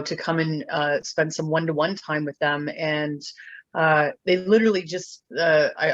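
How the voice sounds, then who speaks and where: A middle-aged woman from Ontario tells her own story as an interview guest. She talks haltingly, with many fillers and restarts. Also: low-pitched, dry recording, highs cut off. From Ontario, Canada. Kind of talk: candid personal storytelling.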